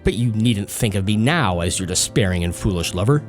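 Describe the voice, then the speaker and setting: An actor playing a tormented, vengeful spurned lover, bitter and mocking, in intense radio melodrama. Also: male voice, lowish voice, lively intonation, a little fast, faint music behind, natural voice. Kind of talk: melodramatic old-time radio drama acting.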